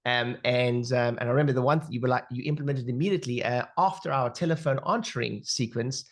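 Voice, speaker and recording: South African guest speaker, animated, chatty, on a video call. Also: male voice, medium pitch, fast talker. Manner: animated, filler-heavy storytelling. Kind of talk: webinar talk.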